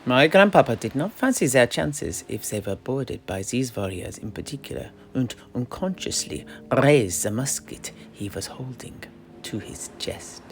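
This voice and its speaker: A man's voice: mid-range voice, animated, unhurried, gravelly. Theatrical male fantasy storyteller, noisy recording. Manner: theatrical, florid, trailing into hushed phrase endings. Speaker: in-character storyteller at a fantasy tavern. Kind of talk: dramatic in-universe fantasy narration.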